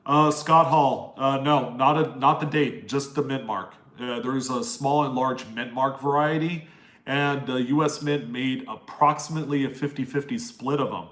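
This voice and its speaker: A man's voice: medium pitch, steady tone, moderate pace, a bit bright, roomy sound. A nasal-voiced young man from Maryland hosting a casual live Q&A, friendly and rambling as he reads out viewer names and answers their questions. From East Coast US, Maryland. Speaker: live stream host answering chat questions.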